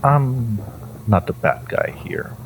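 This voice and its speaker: A man playing an ancient, possessive hive-mind entity in an audio drama, condescending and theatrical, with amused menace and a low, creaky voice. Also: expressive, slight background noise. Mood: coaxing menace. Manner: theatrical, condescending, halting with drawn-out menace and chuckles. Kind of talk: scripted audio roleplay addressed to the listener.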